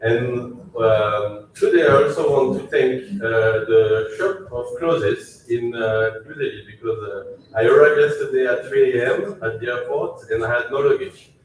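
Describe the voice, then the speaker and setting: A man with a French accent giving a polite, thankful launch speech as a company chief, pausing often with fillers. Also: mid-range voice, slight background noise. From France. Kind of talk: conference speech. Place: large echoey event hall. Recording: echoey hall from far off.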